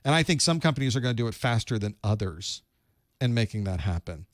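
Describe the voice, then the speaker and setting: A man's voice: medium pitch, big pitch swings, fast talker, dry recording, studio quality. A man hosting a live call-in study group, giving advice in a conversational way and thinking aloud. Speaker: host of a certification study group.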